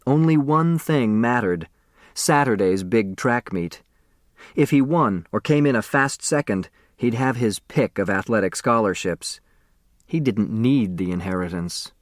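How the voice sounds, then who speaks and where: A man reading a children's novel aloud as expressive scripted narration. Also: fairly low pitch, unhurried, dry recording, clean studio sound. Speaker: audiobook narrator.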